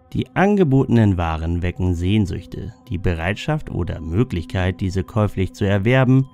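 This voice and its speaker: A man reading scripted explanatory narration in a measured, solemn manner. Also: low-pitched, vocal fry, dark tone. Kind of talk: scripted narration of a dream-symbol lexicon. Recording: music bed under the voice. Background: background music.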